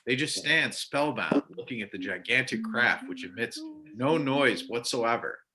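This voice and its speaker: Bright, forceful male narrator. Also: mid-range voice, lively intonation, a little slow, poor audio. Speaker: narrator and reader. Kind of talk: scripted narration, stage directions.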